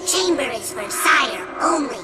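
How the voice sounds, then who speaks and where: A woman's voice: high voice, expressive, unhurried. A woman voicing a small, stern alien creature in a video game, speaking in clipped broken grammar. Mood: insistent. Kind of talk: animated video game cutscene acting. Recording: loud music behind the voice.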